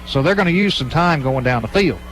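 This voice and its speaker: A man's voice: on the high side, expressive, fairly quick, fairly bright tone, slight background noise, rough recording. Man calling football play-by-play on radio. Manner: clipped, present-tense running description. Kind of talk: live radio sports commentary. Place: live at a football game.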